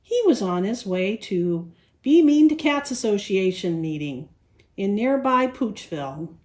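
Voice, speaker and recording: A woman storyteller reading a picture book aloud to young children in an expressive style. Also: medium pitch, unhurried.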